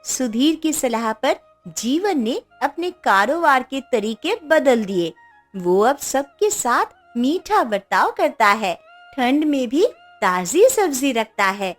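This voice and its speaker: A woman narrator telling a children's fable in a high, very lively voice. She takes her time over each scripted sentence, with music playing underneath. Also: slow pace.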